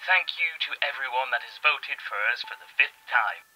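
A man's voice: mid-range voice, normal speed, bright, forceful tone, soft background music, rough recording. A man playing a grandiose, self-important political leader making a declamatory speech in a radio drama. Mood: grandly triumphant. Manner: pompous, declamatory.

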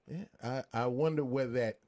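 Halting middle-aged man, mid-pitched. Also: warm tone. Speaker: live show host. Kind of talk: casual discussion. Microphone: boom microphone.

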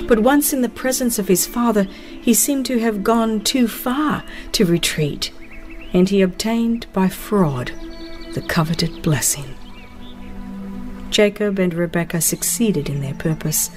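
Woman, audiobook narrator, solemn scripted storytelling, dramatic pauses, medium pitch, background music. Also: a little mellow.

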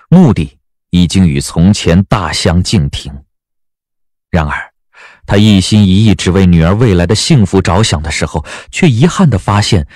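A man narrating a dramatic audiobook as a measured, expressive storyteller. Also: low voice.

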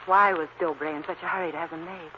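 Inquisitive young-lady-sidekick type, actress, anxious, vintage radio mystery with clear background noise. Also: fairly low pitch, fairly even intonation, fast, close to an everyday voice. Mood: curious. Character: inquisitive, anxious female companion of a detective. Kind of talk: 1940s radio drama acting. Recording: muffled, noisy old radio recording.

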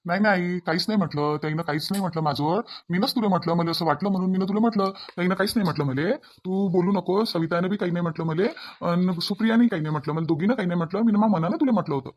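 A man's voice: high voice, racing, few pauses, a little mellow. A man with a Varhadi Marathi accent playing a conciliatory grown family man in a cartoon drama, coaxing and reasoning with repeated phrases. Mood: protesting.

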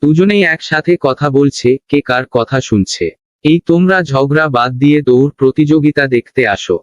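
A man's voice: a little high, moderate pace, close to an everyday voice. A man playing a bossy, scolding animal onlooker in a children's cartoon. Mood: exasperated. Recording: dull lo-fi sound.

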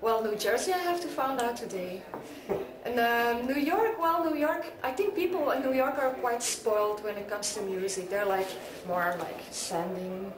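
A woman in her thirties from Belgium with a Belgian accent, chatting in a relaxed, hesitant way as a punk musician being interviewed in a club. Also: a little high, normal speed. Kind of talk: casual interview. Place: indoor club hallway, echoey. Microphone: camera mic from a distance. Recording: echoey room, distant mic, crowd behind.

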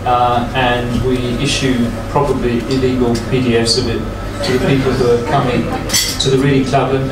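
An older man chairing a dinner event, welcoming guests from a podium with many ums and restarts. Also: medium pitch. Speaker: think tank chairman. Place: hotel function room. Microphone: podium microphone on a stand. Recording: echoey room from far off, room noise.

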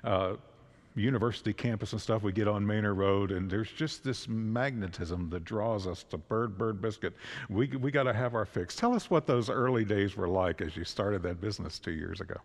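A man's voice: lowish voice, moderate pace, creaky. An older man, a pastor, interviewing a guest during a church service in a conversational way. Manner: conversational, standing and gesturing. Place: church sanctuary. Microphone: stand mic. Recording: long reverb of a church hall.

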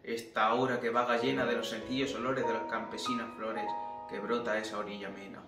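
A man reciting romantic verse drama as a theatrical reading. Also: medium pitch. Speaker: poetry reciter. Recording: echoey room, distant mic, guitar backing.

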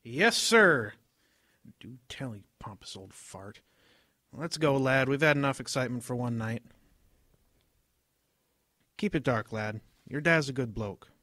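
An actor playing a kindly, deferential Home Guard corporal in a wartime radio drama. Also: male voice, medium pitch, lively intonation, a little slow, long pauses, bright, forceful tone, dry recording, studio quality. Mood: muttering, kindly.

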